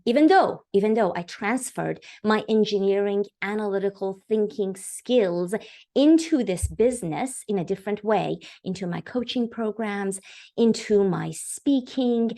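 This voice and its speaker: Enthusiastic middle-aged woman from Iran. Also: medium pitch. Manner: warm, enthusiastic storytelling with fillers. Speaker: guest. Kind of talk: podcast interview.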